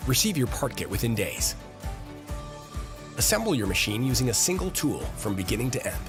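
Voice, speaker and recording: Lively male advert voice-over with music. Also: mid-range voice, a little fast. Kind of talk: promotional scripted narration.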